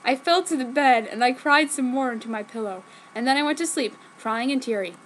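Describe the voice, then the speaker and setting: A woman's voice: sits high, brisk, fairly bright tone, a little hiss or hum, rough recording. A woman giving a dramatic reading of amateur fan fiction in a theatrical manner, stumbling over words. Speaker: reader.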